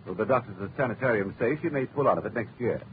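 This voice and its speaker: A man's voice: medium pitch, rapid-fire, a little hiss or hum, lightly acted. A man playing a genial, worried middle-aged clubman, in 1940s radio acting style, talking chattily with nervous laughs. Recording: tinny lo-fi old radio recording.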